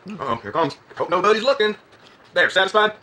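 A man's voice: high-pitched, sing-song, fairly quick, bright, forceful tone, heavily acted. A man playing a restless, joking gas station attendant in a drama scene, with a put-on American Southern accent and a folksy, rambling way of talking. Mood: easygoing, evasive.

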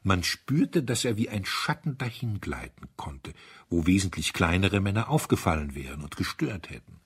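A man narrating a mystery story from a script in an expressive, dramatic storytelling manner. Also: sits low, normal speed, slightly rough. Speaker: audiobook narrator.

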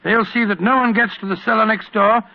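A man's voice: high voice, fairly even intonation, a little fast. An actor playing a bluff, good-natured older male doctor, hesitant and stammering, in a 1940s radio drama. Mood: reassuring. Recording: tinny old radio broadcast.

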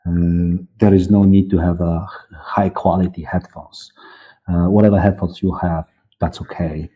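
Muffled, very deep Polish-accented man. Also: little pitch movement. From Poland. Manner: halting, searching for words with many repetitions. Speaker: guest, audio drama director and producer. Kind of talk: unscripted interview talk. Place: dark room, on a video call. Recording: muffled phone-like video-call audio.

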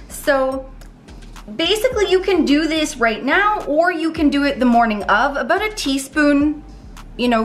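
A woman in her thirties chatting casually through a cooking demo in her home kitchen, bubbly and playful. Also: sits high. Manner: bubbly, playful, gasps and laughs often. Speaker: fitness cooking vlogger.

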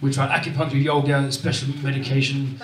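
A man's voice: medium pitch, a little fast, slight background noise. A man in his thirties from Sweden with a Swedish accent, doing a self-deprecating stand-up set on a club stage. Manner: self-deprecating, playful, animated impressions. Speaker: stand-up comedian. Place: comedy club stage before a live audience. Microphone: handheld mic. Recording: roomy club sound from far off, loud crowd laughter.

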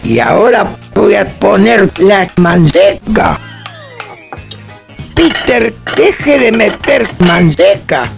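A woman's voice: mid-range voice, big pitch swings, slow pace, vocal fry, soft background music, heavily acted. An actress playing a haughty, exasperated older madame who scolds as she teaches, in a radio comedy. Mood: bossy, impatient.

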